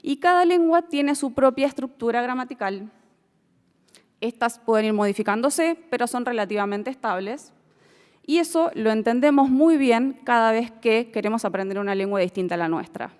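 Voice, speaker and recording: Clear-voiced woman lecturing. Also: fairly high pitch, expressive, normal speed, a little mellow, in a large hall. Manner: lecturing, checks in with "¿sí?", frequent "eh" hesitations. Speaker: expert speaker presenting a bill. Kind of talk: formal explanatory presentation.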